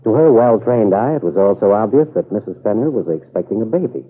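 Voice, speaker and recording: A man's voice: on the low side, animated, quick pace, slightly rough. A man narrating a radio serial as its announcer, in formal, scripted storytelling style from old-time radio. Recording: tinny, muffled old radio broadcast.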